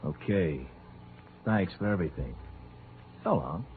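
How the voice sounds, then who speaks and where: A man's voice: low voice, animated, unhurried. An actor in an old radio drama playing a tough, surly stick-up man with a curt, slangy, menacing manner. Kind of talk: 1940s-50s radio drama acting. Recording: tinny old radio recording, faint music.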